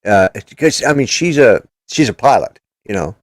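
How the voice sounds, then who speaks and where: A man's voice: medium pitch, lively intonation, normal speed. An older man hosting a casual political chat show, talking in a blunt, rambling, opinionated way. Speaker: podcast host.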